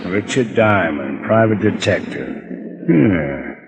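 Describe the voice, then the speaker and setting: Low-voiced crook, uneasy and threatening. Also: male voice, expressive, slow, muffled recording, background noise, exaggerated voice. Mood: menacing, controlled. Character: menacing but nervous male rest-home operator with a gun. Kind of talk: 1940s-50s radio drama acting.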